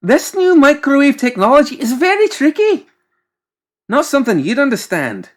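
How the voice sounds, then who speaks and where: A man voicing an exasperated, excitable mad doctor in an audiobook, snappish, fussy and defensive. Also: very high-pitched, moderate pace, voice pushed up. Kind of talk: audiobook character voice.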